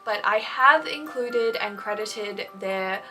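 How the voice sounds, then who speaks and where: A woman's voice: medium pitch, slow pace, pushed and bright, recorded from a distance, low-quality recording. A woman hosting a scripted instructional video on writing, with articulate, emphatic phrasing. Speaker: host of fan fiction writing advice videos. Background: background music.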